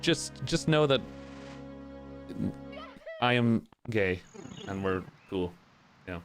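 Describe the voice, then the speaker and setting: A man with a fairly low voice and an American accent picked up over years of streaming. He chats loosely and sarcastically, swearing freely and restarting his sentences. Faint game music plays underneath. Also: lively intonation, slow pace. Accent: American, adopted over years of streaming. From Trinidad. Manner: casual, sarcastic and profane, with many restarts. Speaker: streamer and speedrunner. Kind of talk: casual chat while gaming and reading chat.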